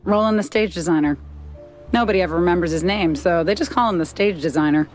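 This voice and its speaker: An actress playing a savvy, teasing mouse informant in a noir video game, speaking in a wry, knowing way. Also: female voice, fairly low pitch, fast, faint music behind, close to an everyday voice.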